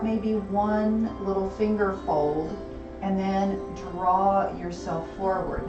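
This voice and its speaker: A woman teaching a gentle yoga class, calmly cueing breath and movement, her voice a little gravelly and hoarse. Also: medium pitch, highs cut off. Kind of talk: gentle guided yoga instruction. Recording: roomy, distant, music behind.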